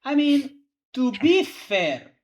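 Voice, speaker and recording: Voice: high voice, very animated, slow, a little mellow. A young adult law student chatting casually, rambling and hedging with lots of fillers. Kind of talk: casual chat among players.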